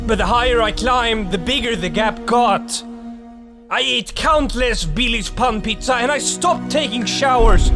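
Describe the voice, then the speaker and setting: A man narrating a mock-serious gaming guide in an earnest lecturing tone. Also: very high voice. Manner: earnest lecturing tone over comic clips. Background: background music.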